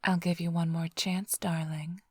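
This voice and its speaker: Breathy, flirtatious vampire thief played by a woman. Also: lowish voice, little pitch movement, measured pace, a little mellow, no room sound. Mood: smug, teasing. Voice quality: breathy, husky, often whispered. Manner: teasing, purring, theatrically sly. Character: flirtatious female vampire thief, teasing smug rival. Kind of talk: ASMR roleplay, intimate close address to listener.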